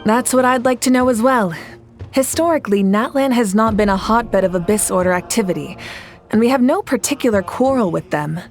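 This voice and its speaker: A woman voicing a calm, commanding leader. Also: on the high side, soft background music, lightly acted. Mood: steady. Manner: measured, reassuring, authoritative. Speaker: leader of a nation at war. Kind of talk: video game voice acting.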